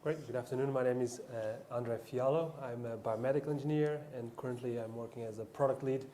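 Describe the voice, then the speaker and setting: A man introducing himself on a panel, speaking carefully and haltingly with pauses. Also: medium pitch, fairly even intonation, warm tone, slight background noise. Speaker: panelist, biomedical engineer.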